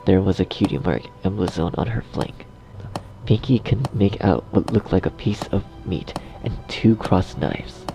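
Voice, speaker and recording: Casting: male narrator for dark audio fiction, deep, fry-laden voice, measured reading with background music. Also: rough recording.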